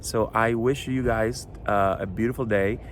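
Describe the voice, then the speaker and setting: A man's voice: fairly low pitch, steady tone, noisy background. Earnest man with a Cuban Spanish accent. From Cuba. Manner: earnest, halting, many fillers. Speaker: children's book author. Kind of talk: recorded address to a school audience.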